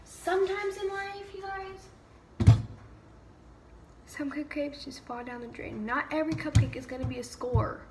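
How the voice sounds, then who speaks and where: A woman's voice: high voice, a little slow, long pauses, heavy background noise. A young woman chatting casually while baking in her home kitchen, with lots of "like" and "um" and jokes at her own expense. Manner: chatty, lots of "like" and "um", self-mocking. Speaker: vlogger. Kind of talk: casual baking vlog chat. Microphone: camera mic, from across the room at times. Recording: roomy kitchen sound from off the mic.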